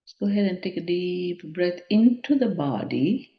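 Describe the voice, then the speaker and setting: A woman leading a guided meditation in a measured, contemplative manner, leaving long pauses between phrases. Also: on the low side, animated. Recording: dull, muffled lo-fi sound.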